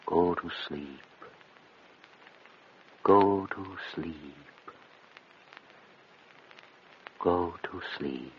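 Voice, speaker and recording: An actor playing an intense male hypnotist in a gothic radio drama, solemn, deliberate and commanding. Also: low-pitched. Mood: hushed, commanding. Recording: dull radio recording with faint noise.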